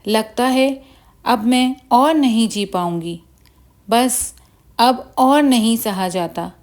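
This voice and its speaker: Weary sick village mother , female narrator acting, plaintive, unhurried, bedtime-story style. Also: fairly high pitch. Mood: pained wailing. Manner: plaintive lamenting. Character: sick, weary, self-pitying poor old village mother.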